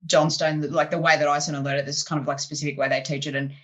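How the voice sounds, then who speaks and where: Woman host, low pitch, bright forceful tone, chatty video-call interview. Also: very fast. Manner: animated, chatty, many fillers like "you know". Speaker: podcast host and improv teacher. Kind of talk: casual interview chat.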